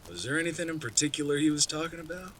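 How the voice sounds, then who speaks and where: A man playing a hearty backwoods man in a horror audio drama, with folksy rural phrasing and a storyteller's pauses. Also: fairly high pitch, animated, a bit bright, a little hiss or hum. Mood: ominous storytelling. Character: gruff, hearty backwoods man retelling wilderness legends.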